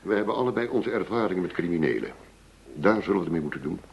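An actor playing a middle-aged police chief going undercover in a radio play, persuasive and matter-of-fact. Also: male voice, low-pitched, expressive, fast talker, vocal fry, slight background noise. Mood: businesslike, resolute. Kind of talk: Dutch radio play acting. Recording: dull lo-fi radio play recording.

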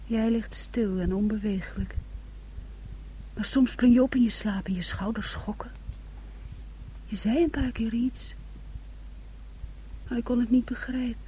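A woman's voice: fairly high pitch, unhurried, pauses often, warm tone, slight background noise, natural voice, low-quality recording. An actress playing a possessive, jealous young woman in a radio play, intense, stubborn and emotional. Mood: hushed, secretive. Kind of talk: Dutch radio play acting.

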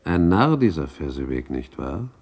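An actor playing a solemn, reproachful ghost in a radio play, speaking in a grave, probing, admonishing manner. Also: male voice, a bass voice, very animated, normal speed, a little mellow, husky, full character voice. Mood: taunting, reproachful. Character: solemn, reproachful male ghost of Christmas past. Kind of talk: 1960s German radio play acting.

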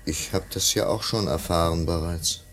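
Very deep, subdued family friend. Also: male voice, steady tone, normal speed. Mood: subdued, reflective. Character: subdued male family friend, sympathetic, reflective. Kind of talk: German radio play acting.

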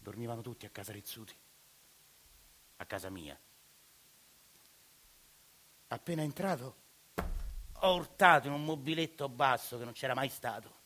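A man's voice: mid-range voice, lively intonation, moderate pace, pauses often, sharp tone, a little hiss or hum. An actor playing a desperate, evicted family man in a radio monologue, speaking with a Roman accent in a sardonic way that breaks into outbursts. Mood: wistful. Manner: bitter, sardonic storytelling, rising to outbursts.

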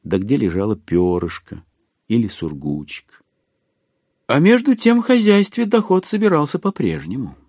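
A man narrating a literary story in an expressive, theatrical storytelling manner. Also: mid-range voice, normal speed, no room sound, studio quality. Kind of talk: scripted dramatic literary narration.

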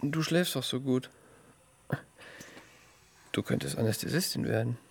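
An actor playing an anxious, apologetic expectant father, halting and intimate in naturalistic radio play dialogue. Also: mid-range voice, vocal fry, a little hiss or hum. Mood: subdued.

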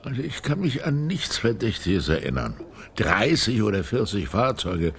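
An actor playing a dutiful gate guard in a radio crime drama, plain-spoken and official, polite but a little defensive. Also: male voice, fairly low pitch, very animated, moderate pace, vocal fry, slight background noise. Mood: defensive recalling. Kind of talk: naturalistic German radio crime drama acting.

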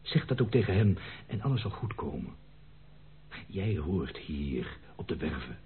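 A man's voice: deep voice, big pitch swings, measured pace, breathy edge, full character voice. An actor playing a blunt, fatherly circus man in a Dutch radio play, scolding and coaxing as he talks. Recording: muffled, narrow-band old radio recording.

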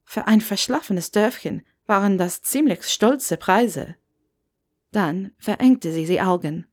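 A woman's voice: mid-range voice, expressive, slow pace, darkish tone. A woman reading a scripted story aloud as an audiobook narrator.

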